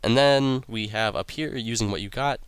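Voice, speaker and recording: Young male let's-play host, low and raspy from a cold, rambling casual commentary, dry close mic. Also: moderate pace, no echo. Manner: rambling, many ums and uhs, reads quest text aloud. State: raspy voice from illness.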